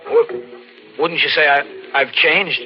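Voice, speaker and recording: Scared guilty drifter in an old radio thriller, slangy and jittery, dull recording w loud music behind. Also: male voice, a little high. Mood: startled, uneasy. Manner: halting, anxious, repeats words, street slang. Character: frightened drifter with a record, guilt-ridden killer on the run. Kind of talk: 1940s radio thriller acting, first-person narration. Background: dramatic music.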